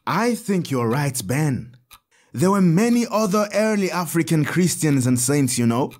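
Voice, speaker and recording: A kind scholarly priest in an audio drama, slow patient teacher, really expressive pitch. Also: male voice. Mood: storytelling. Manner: patient, explanatory, measured.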